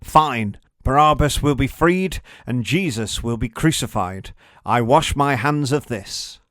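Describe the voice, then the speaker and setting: A man voicing a commanding Roman governor in a storybook, addressing a crowd in a loud, official, declamatory way. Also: mid-range voice, expressive, measured pace. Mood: dismissive. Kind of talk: storybook character acting.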